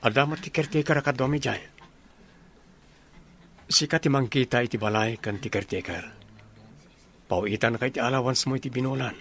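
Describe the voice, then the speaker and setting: An actor playing an earnest adult son and suitor in a radio drama, with an Ilocano accent. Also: male voice, medium pitch, brisk, long pauses, a bit bright, slight background noise, natural voice. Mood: earnest, persuading.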